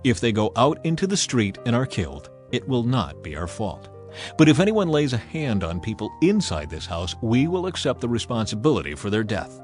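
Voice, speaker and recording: A man's voice: mid-range voice, sing-song, fairly quick, soft background music, close to an everyday voice. A man voicing a firm, oath-bound Israelite spy with formal declamation in a scripture dramatization. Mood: firm, warning.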